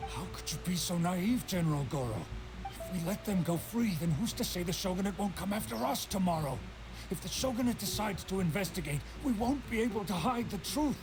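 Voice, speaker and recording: A man playing a stern, indignant middle-aged island trader in a video game, gruff and argumentative. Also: high voice, animated, a little fast, music in the background, poor audio. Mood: bitter, insistent. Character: stern, indignant older male island trader, grieving father.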